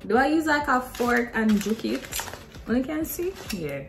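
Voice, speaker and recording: A woman's voice: fairly high pitch, lively intonation, a little slow. Young Jamaican woman chatting, faint music behind. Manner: informal, Creole-tinged phrasing. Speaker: cooking vlogger. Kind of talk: casual chat while cooking. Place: home kitchen, indoors.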